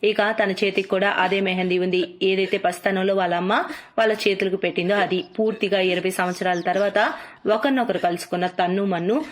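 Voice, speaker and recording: A woman's voice: mid-range voice, fairly even intonation, fairly quick. A woman narrating a cartoon moral story in a scripted storytelling style.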